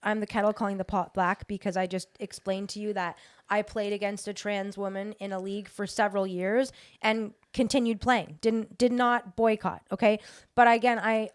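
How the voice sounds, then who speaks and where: A woman's voice: mid-range voice, fairly even intonation, normal speed, clear, resonant tone. A woman hosting a casual podcast, who talks bluntly, swears freely and presses her guest with incredulous questions. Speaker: podcast host.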